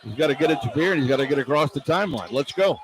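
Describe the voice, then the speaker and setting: A man's voice: on the high side, lively intonation, rapid-fire. A man calling live basketball play-by-play on the radio, narrating in clipped running phrases and stretching words on big plays. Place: indoor basketball arena. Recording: arena noise behind a live broadcast. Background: arena ambience.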